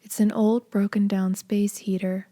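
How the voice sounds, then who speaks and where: A woman narrating a horror video game fan dub in a hushed, breathy, grave and measured way. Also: mid-range voice, little pitch movement, natural voice.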